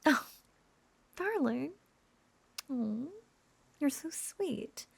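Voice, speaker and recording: A woman playing a lovesick, obsessive witch in an intimate roleplay audio drama, cooing in a breathy, husky half-whisper with lingering pauses. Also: high voice, expressive, no room sound, exaggerated voice. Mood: lovestruck, giddy. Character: lovesick obsessive young witch, possessive, teasing and doting.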